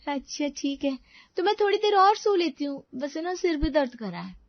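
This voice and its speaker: A woman's voice: high-pitched, big pitch swings, normal speed, no echo, dull audio. A woman voicing a naive, literal-minded young daughter-in-law in a cartoon, innocent and obliging.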